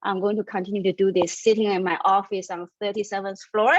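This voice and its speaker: A woman's voice: mid-range voice, flat delivery, fast talker, no echo. Middle-aged woman, founder being interviewed, earnest, filler-heavy, dry lo-fi call audio. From Taiwan, raised in Austria. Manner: earnest and enthusiastic, with frequent fillers and occasional laughs. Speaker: startup founder and CEO. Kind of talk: interview answers.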